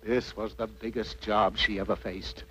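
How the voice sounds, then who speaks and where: A man's voice: on the low side, level tone, moderate pace, bright, forceful tone, slightly rough. A man narrating a scripted cartoon fairy tale in a wry, mock-dramatic storytelling manner. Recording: faintly noisy old cartoon soundtrack.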